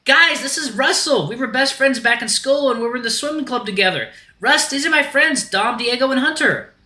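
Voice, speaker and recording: A man's voice: very high-pitched, animated, fairly quick, sharp tone, reverberant, voice pushed up, heavily acted. A man voicing an eager, friendly young male animal character in a story, with a bubbly manner. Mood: friendly, proud.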